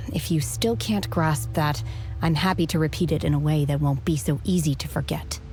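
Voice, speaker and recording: An actress playing an aloof, stern young woman in an anime game, speaking in a cold, measured, detached way. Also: deep voice, fairly even intonation, a little mellow, soft background music. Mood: cold, firm.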